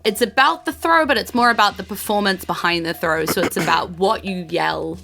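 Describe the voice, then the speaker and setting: A woman's voice: medium pitch, fairly quick, vocal fry, music in the background. A woman improvising as game master, conversational and encouraging. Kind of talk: improvised tabletop game narration.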